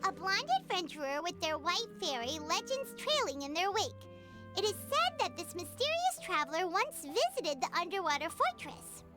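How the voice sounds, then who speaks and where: A perky little fairy companion from a video game. The voice is extremely high, childlike and squeaky, swinging widely in pitch with cutesy exclamations. Music plays clearly behind it. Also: female voice, very animated, moderate pace. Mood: curious, reciting. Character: tiny childlike female fairy companion, perky and cheeky, speaks in third person. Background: loud game music.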